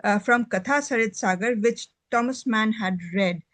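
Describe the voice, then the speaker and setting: A woman's voice: on the high side, moderate pace, a bit bright, no room sound. Dry-sounding woman in discussion. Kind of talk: informal discussion, quoting a book introduction.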